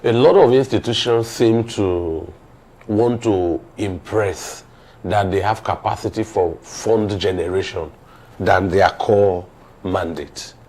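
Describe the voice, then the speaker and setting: A man in his forties with a Nigerian accent speaks as a guest on a TV panel, in deliberate, formally worded phrases. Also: low-pitched, darkish tone. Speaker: studio guest commentator. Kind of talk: TV panel discussion.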